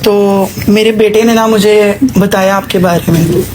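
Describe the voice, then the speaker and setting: A well-off matchmaking auntie, put on by a woman for a prank call, coaxing and persuasive in a mid-pitched voice. She drops English words into her talk. There is some noise behind her. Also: steady tone, a little slow, a little mellow, close to an everyday voice, rough recording. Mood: polite, coaxing. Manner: auntie-like, persuasive, addresses listener as child, English words mixed in. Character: well-off mother from UK seeking a bride, coaxing. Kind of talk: acted prank phone call.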